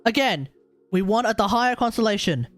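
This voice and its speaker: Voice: mid-range voice, animated, brisk, fairly bright tone, faint music behind. A live streamer giving casual gaming commentary, thinking aloud and talking to the chat.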